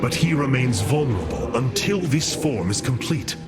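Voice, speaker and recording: A man's voice: medium pitch, animated, moderate pace, lightly acted. A man playing a noble, resolute alien warrior leader in a video game, formal and oratorical. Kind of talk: scripted game dialogue. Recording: loud music behind.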